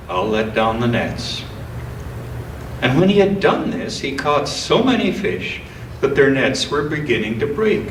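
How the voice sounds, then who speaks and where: A man reading scripture and poetry aloud in church, with informal commentary, in a measured, deliberate, reflective way. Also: mid-range voice. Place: church, speaking to a congregation. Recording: roomy, distant mic, faint noise.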